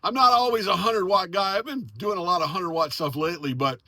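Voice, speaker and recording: High-voiced excited American man. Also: a little fast. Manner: excited, friendly, effusive. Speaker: amateur radio operator and vlogger. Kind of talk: casual enthusiastic chat and radio exchanges.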